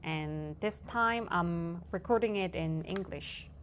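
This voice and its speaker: A young woman with a Korean accent, speaking English as a second language in a reflective personal monologue, thoughtful and soft-spoken with frequent fillers. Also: fairly low pitch, slow, a little hiss or hum. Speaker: vlogger.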